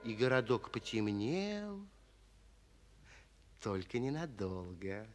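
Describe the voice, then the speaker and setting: An actor narrating a 1940s children's radio play as a kindly storyteller, expressively addressing young listeners. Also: male voice, lowish voice, very animated, takes it slowly, slight background noise. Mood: storytelling.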